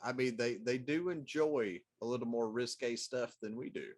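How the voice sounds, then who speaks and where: A man's voice: medium pitch, moderate pace, darkish tone. A man chiming in as co-host in a casual, unscripted group conversation. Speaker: podcast co-host. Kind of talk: casual panel chat.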